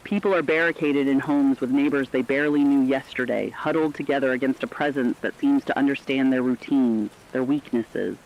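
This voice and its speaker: Muffled radio sound w/ light rain hiss, guy playing serious emergency news anchor, emphatic and deliberate. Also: mid-range voice, close to an everyday voice. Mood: stern, foreboding. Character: stern, urgent radio news anchor reporting an apocalypse. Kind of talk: scripted emergency broadcast narration.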